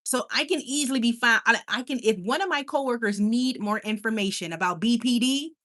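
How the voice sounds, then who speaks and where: A young woman with a Caribbean Spanish accent talks straight to her live chat, bubbly and quick to squeal with excitement. Her voice is bright and forward. She sounds at home and at ease. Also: a little high. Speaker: livestream host. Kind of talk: casual live chat reading viewer comments.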